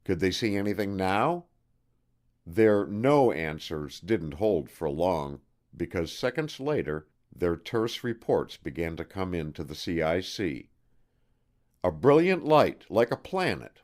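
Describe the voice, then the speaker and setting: A man with a very low voice reads scripted non-fiction as an audiobook narrator. His intonation moves widely up and down. He phrases things deliberately and leans firmly on key words. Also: sing-song, darkish tone.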